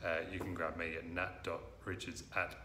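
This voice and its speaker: An Australian man in his thirties presents to camera from an office. His voice sits low but sounds bright and forceful. He talks earnestly, filling pauses with "uh", and the room adds a noticeable echo. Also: little pitch movement, slight background noise. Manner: earnest, frequent "uh" fillers. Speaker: recruitment company presenter. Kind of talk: direct-to-camera talk. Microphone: lapel mic.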